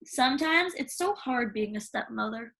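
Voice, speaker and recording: A woman narrates a children's storybook with theatrical flair. Her voice is high and bright, and it moves up and down in a very animated way with dramatic pauses. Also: creaky. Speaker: storybook reader. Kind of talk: expressive children's read-aloud narration.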